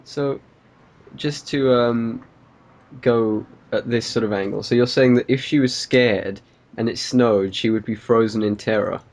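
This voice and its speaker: A man's voice: mid-range voice, fairly even intonation, a little slow, darkish tone. A man co-hosting a podcast, chatting casually and jokingly. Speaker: podcast co-host.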